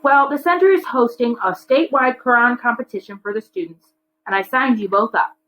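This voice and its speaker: A woman's voice: on the high side, brisk, fairly bright tone, pitched above the performer's own voice, lightly acted, low-quality recording. A woman voicing a worried but fair father in a children's storybook, reasoning firmly. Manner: firm, reasoning.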